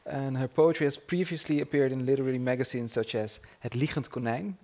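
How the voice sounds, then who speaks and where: Gravelly-voiced male moderator. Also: medium pitch, steady tone, a little mellow, slight background noise. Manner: halting, with fillers. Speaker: event moderator. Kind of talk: introducing a guest on stage.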